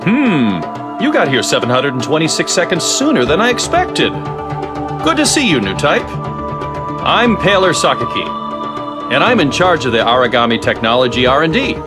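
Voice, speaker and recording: Theatrical, animated eccentric male scientist. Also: a little high, moderate pace, loud music behind the voice. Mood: cheerful, unhurried. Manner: theatrical, gleeful, precise phrasing. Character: eccentric, cheerful male scientist, giddy about data. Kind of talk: video game cutscene voice acting.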